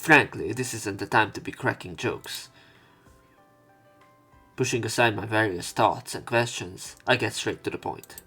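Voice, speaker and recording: A man's voice: lowish voice, a little slow, breathy edge. A man doing let's play commentary, reading game text aloud and adding informal, rambling, hesitant remarks. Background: soft game music.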